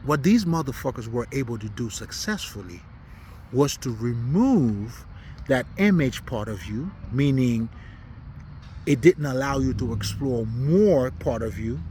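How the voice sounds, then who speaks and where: A man's voice: mid-range voice, expressive, unhurried, dark tone, a little hiss or hum. A man from Haiti, not a native English speaker, giving an unscripted, preaching monologue in blunt, profane slang with emphatic repetition. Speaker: spiritual commentator.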